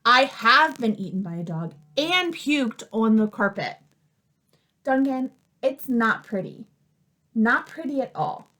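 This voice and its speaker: A battered crayon from a children's storybook, acted out by a woman reader. The voice is high and unhurried. It pleads with a plaintive, disgusted air of grievance. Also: expressive, sharp tone, full character voice, low-quality recording. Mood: plaintive, aggrieved. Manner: plaintive, aggrieved letter-writer.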